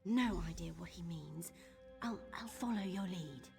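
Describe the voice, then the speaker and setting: A woman's voice: fairly low pitch, measured pace, creaky, a little mellow, soft background music. A woman playing an eager, nervy young female thief in a video game, chatty and conspiratorial. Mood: alarmed, confused. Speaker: game character, thief companion.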